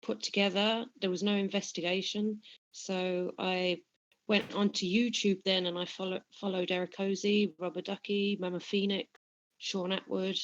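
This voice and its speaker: Woman with an english accent, pretty flat voice, dull lo-fi sound. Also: mid-range voice. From England. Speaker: guest researcher. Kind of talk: casual podcast chat.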